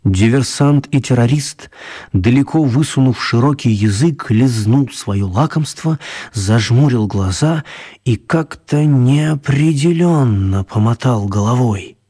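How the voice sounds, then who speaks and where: A man's voice: medium pitch, lively intonation, slow, warm tone, breathy edge. A man narrating a mock spy adventure as the storyteller of a children's radio play. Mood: measured storytelling.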